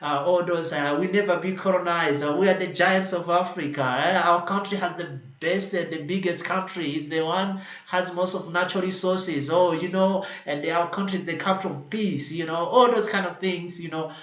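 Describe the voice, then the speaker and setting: A man's voice: high-pitched, steady tone, moderate pace, few pauses, fairly bright tone, echoey room, lo-fi sound. A man hosting an online panel discussion from home at night, talking with many fillers and restarts. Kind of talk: closing remarks in an online panel. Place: home in Addis Ababa, at night.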